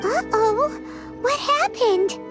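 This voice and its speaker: A woman's voice: very high-pitched, animated, takes it slowly, exaggerated voice. A woman voicing a tiny, chirpy, curious childlike fairy companion in a video game, given to exclamations. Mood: alarmed. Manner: childlike, exclaiming, speaks in third person. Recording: loud music behind the voice.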